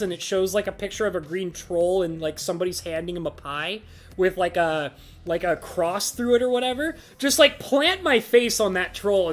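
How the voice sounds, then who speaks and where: A woman chatting casually on a live stream, gushing, laughing often and swearing freely, with lots of filler words. Her voice is scratchy from laughing so hard. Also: medium pitch. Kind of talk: casual livestream chat, reading chat messages.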